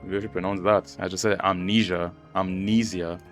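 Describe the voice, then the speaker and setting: A man's voice: deep voice, level tone, normal speed. A man giving casual playthrough commentary on a game story, musing aloud and repeating himself as he reacts. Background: game music under the voice.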